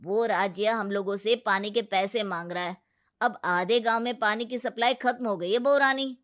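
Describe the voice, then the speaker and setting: Woman acting a bright, forceful village elder. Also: medium pitch, moderate pace, close to an everyday voice. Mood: earnest pleading. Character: blunt village council elder, earnest, respectful to lawyer. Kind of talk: Hindi moral-story cartoon voice acting.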